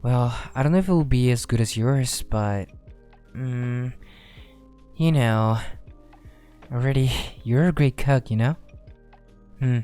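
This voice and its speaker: A man playing a shy, affectionate werewolf boyfriend in a soft, hesitant romantic ASMR roleplay. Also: mid-range voice, slow, pauses often, smooth, soft background music, lightly acted. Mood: gentle, caring. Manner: hesitant, affectionate, soft-spoken, often chuckling. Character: shy, tender male werewolf boyfriend, young adult.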